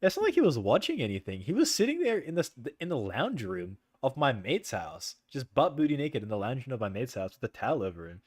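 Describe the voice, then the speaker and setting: A young man with a soft voice rambles casually to his stream audience, his pitch swinging up and down as he talks. He leans on "like" and "bro" and keeps breaking into laughter. The sound is dry and close, with no room around it. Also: wildly swooping pitch, quick pace, hardly any pauses, no echo. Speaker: livestreamer. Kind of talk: casual chat with stream viewers.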